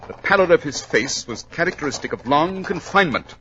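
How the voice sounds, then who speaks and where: An actor playing a shrewd, confident gentleman detective, precise and formal, in a 1940s radio drama. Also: on the high side, animated, fairly quick, slight background noise. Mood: keen, assured. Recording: dull old radio recording.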